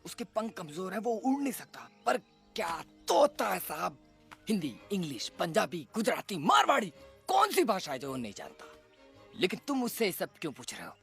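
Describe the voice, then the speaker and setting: Casting: eccentric, absent-minded bird for animation, croaky, very animated, rambling delivery. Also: male voice, high-pitched, moderate pace, soft background music, full character voice. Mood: admiring. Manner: rambling, muddled, repeats himself. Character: scatterbrained, forgetful male bird, eccentric uncle. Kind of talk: animated film voice acting.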